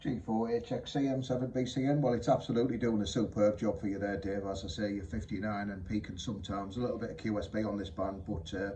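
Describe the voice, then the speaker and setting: A man's voice: fairly low pitch, flat delivery, quick pace, hardly any pauses, warm tone, poor audio. A man chatting politely and informally as an amateur radio operator during a two-way contact. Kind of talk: unscripted two-way radio chat. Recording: faint background hiss.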